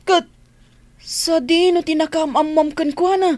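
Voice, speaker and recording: A woman's voice: very high-pitched, steady tone, a little slow, darkish tone, no echo. An actress playing a nosy, scheming young village woman in a radio drama, with an Ilocano accent and probing, exclaiming questions. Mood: prying, envious.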